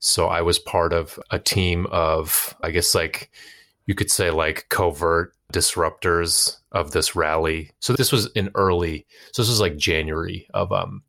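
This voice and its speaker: Very deep-voiced American man chatting casually. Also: steady tone, a little slow, a bit bright. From United States. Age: 30s. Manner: informal, rambling, slangy. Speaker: podcast guest, actor and musician. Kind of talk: casual interview chat.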